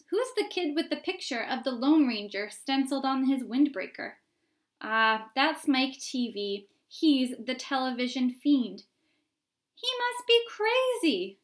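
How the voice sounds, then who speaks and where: A woman voicing excited, gossipy storybook onlookers shouting in a crowd, with emphatic exclaiming. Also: high-pitched, voice pushed up. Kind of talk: storybook character voices.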